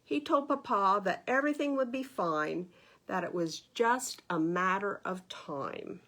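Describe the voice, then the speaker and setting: Slow-paced woman reading a children's story. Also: medium pitch. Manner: expressive, asking listeners questions. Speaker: librarian reading stories to children. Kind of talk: read-aloud children's storytime narration with asides.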